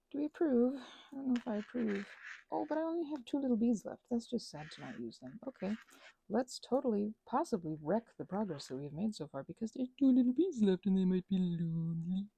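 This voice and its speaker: A woman chatting casually in a rambling ASMR craft style, with playful, animated asides and frequent self-interruptions. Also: a little high, a little fast, vocal fry, slight background noise, poor audio. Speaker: ASMR and audio creator.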